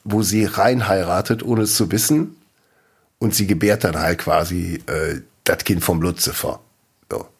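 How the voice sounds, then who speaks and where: Guy in his 40s, kinda husky and low, chatty podcast co-host telling stories. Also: a little slow. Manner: laughs a lot, lively storytelling. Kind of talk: casual chat.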